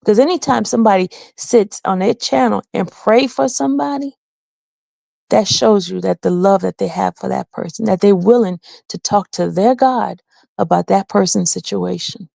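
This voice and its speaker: Earnest woman streamer with a gravelly edge. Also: medium pitch, moderate pace, mellow, no echo. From Haiti. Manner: earnest, heartfelt, reads chat aloud. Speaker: channel host. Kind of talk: casual livestream talk to viewers.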